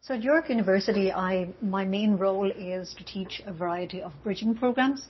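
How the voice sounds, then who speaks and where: A woman from Germany whose first language is German gives a measured talk as a university English instructor, pausing often with um and uh. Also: medium pitch, breathy edge. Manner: measured, with frequent um and uh pauses. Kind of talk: prepared talk. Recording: dull lo-fi audio.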